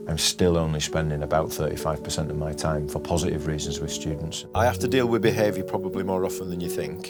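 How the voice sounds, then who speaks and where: A middle-aged man with a Yorkshire accent, a head teacher speaking firmly and plainly about his school and pupils. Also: sits low. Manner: firm, plain-spoken, measured. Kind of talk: documentary interview and school talk.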